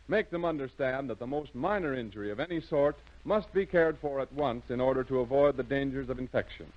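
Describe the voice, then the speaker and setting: A man with an American accent reading scripted sponsor announcements in a formal, measured, carefully enunciated 1940s radio style, on a muffled vintage broadcast. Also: medium pitch.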